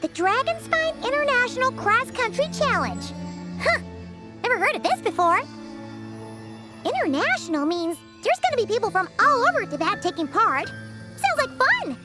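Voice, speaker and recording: A woman voicing a tiny, childlike fairy companion in a video game, squeaky and excitable. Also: normal speed. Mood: curious, excited. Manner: excitable, refers to self in third person. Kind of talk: animated video game voice acting. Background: bright tinkly music.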